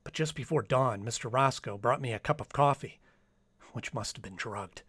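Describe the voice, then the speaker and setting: A man's voice: medium pitch, normal speed, fairly bright tone, husky, dry recording, clean studio sound. A man playing an earnest, anxious trapped diarist in an old-time radio drama, speaking uneasily. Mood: uneasy, confiding. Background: music.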